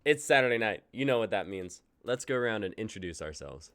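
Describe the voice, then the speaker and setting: A man's voice: fairly low pitch, animated, brisk, a bit bright. A man in his twenties hosting a casual livestream chat, upbeat and jokey as he runs the show. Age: 20s. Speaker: host.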